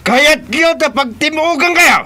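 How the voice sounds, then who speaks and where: Domineering boss, slow deliberate delivery, lively swings in pitch, radio drama. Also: male voice, full character voice. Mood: angry. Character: domineering, well-connected boss man named Rodrigo. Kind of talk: Ilocano radio drama acting.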